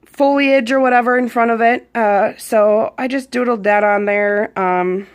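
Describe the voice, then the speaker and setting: A hoarse woman, around her thirties, chatting casually with a lot of ums while showing her journal pages. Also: a little high, a little slow. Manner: rambling, chatty, with frequent ums. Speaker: YouTube vlogger. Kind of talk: casual journal flip-through.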